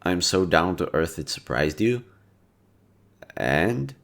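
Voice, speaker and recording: A young man in an audio roleplay, talking to a listener in a soft-spoken, laid-back and teasing way. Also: deep voice, very animated, takes it slowly, creaky. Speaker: voice actor.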